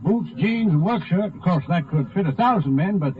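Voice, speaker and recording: A plainspoken small-town druggist, with a high voice and folksy, clipped answers. It sounds like a thin, old 1950s radio drama recording. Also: male voice, expressive, moderate pace, phone-quality sound. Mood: matter-of-fact.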